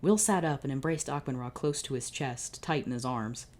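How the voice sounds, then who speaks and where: Low mellow woman reading fanfic out loud, deadpan n kinda exasperated, swears in between. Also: normal speed. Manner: exasperated, sardonic, swearing asides. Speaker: channel host reading fan fiction. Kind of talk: comedic dramatic reading with asides.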